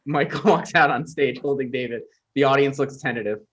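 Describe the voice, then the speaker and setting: A man's voice: mid-range voice, a little fast, fairly bright tone, highs cut off, a little hiss or hum. A man reading stage directions as narrator in a scripted comedy table read.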